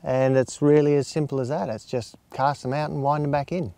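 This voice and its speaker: A man's voice: fairly high pitch, fairly even intonation, fairly quick, warm tone. A man in his fifties with an Australian accent gives informal, plain-spoken instruction in an easygoing way, outdoors. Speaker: fishing instructor. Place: outdoors on an open lake shore.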